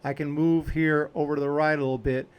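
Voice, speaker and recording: A man's voice: on the high side, little pitch movement, fast, clean tone, lo-fi sound. A man hosting a video editing tutorial, talking casually and informally as he explains each step while clicking along.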